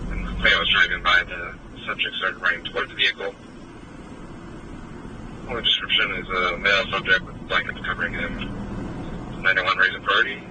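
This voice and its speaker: A man's voice: deep voice, heavy background noise. A man dispatching calls over police radio, reading out codes and addresses in a clipped way. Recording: distant staticky scanner radio.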